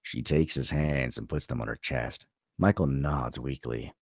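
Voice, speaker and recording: A man's voice: a bass voice, a little slow, creaky, dry recording, studio quality. A man reading out screenplay stage directions as the narrator of a table read.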